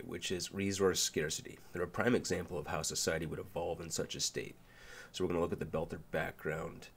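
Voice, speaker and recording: Male host, deep and gravelly, nasal from a cold, relaxed and a bit rambling. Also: slight background noise. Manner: relaxed, loose with fillers and restarts. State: nasal from a sinus flu. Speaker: video essay host. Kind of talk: casual explainer narration.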